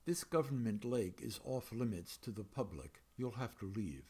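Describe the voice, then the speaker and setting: A man's voice: lowish voice, moderate pace, husky, full character voice. A man reading as a curt lake guard. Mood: flat firm. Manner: flat, terse, unmoved.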